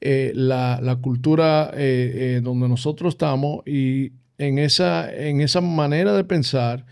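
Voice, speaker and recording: A Dominican man co-hosting a casual church talk, speaking in a thoughtful, halting way with many fillers. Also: medium pitch, steady tone, gravelly, a little mellow, clear, resonant tone. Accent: Dominican Spanish. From Dominican Republic. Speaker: podcast co-host.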